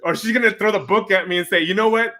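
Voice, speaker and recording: Bright-voiced man, halting talker. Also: high voice. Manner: halting, repeating himself. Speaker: interview host. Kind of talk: casual chat.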